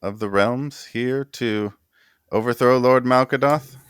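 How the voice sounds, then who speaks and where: A man's voice: medium pitch, takes it slowly, smooth, no echo, clean studio sound, pitched above the performer's own voice, lightly acted. A man voicing a polite, formal male court minister in a tabletop game, speaking courteously with small hesitations. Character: polite stiff male minister, middle-aged.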